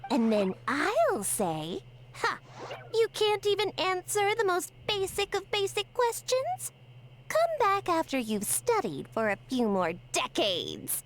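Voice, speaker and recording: A woman's voice: very high-pitched, huge pitch swings, slow pace, slight background noise, heavily acted. A woman voicing a haughty, scheming mushroom creature in a game, talking down to everyone. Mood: smug. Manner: condescending, smug delivery. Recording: game audio with background music.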